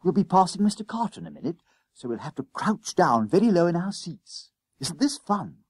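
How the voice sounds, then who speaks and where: A man voicing a bossy, excitable schoolboy ringleader in an audiobook, speaking in urgent, conspiratorial bursts with old-fashioned slang. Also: sits high, normal speed, breathy edge, dry recording, clean studio sound, pitched above the performer's own voice, exaggerated voice. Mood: excited, confident.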